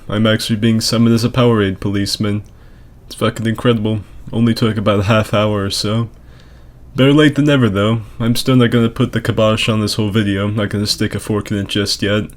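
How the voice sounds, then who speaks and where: Low-voiced man doing sarcastic game commentary. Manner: sarcastic, crude, hyperbolic. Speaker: gaming video host. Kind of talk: casual, profane comedic game commentary.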